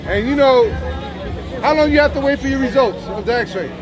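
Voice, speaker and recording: A man's voice: extremely high pitch, normal speed. A man in his thirties, a bomb squad officer, chatting and explaining his gear to a crowd at an outdoor community event with many restarts. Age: 30s. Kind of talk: casual demonstration to a crowd.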